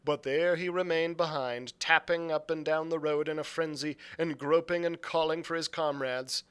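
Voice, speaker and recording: Bright-voiced man reading a story. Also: fairly high pitch, fairly even intonation, normal speed, clear, resonant tone. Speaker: librarian reading a novel aloud. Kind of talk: dramatic storybook narration.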